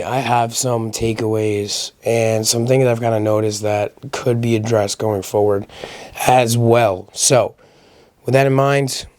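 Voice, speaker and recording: Man, mid-pitched, slightly raspy from a recent sickness, casual solo commentary, opinionated, conversational. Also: a little mellow, no room sound. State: getting over a sickness. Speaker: host of a powerlifting recap channel.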